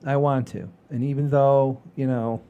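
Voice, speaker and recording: A man hosting a comedy show in casual chat, halting and repeating phrases for emphasis. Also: medium pitch, level tone, clean tone, narrow, tinny audio.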